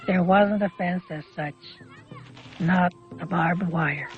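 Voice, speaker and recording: Older Canadian man reminiscing, higher-pitched voice. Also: slow, highs cut off, faint music behind. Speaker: interviewee recalling childhood. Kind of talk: informal reminiscence.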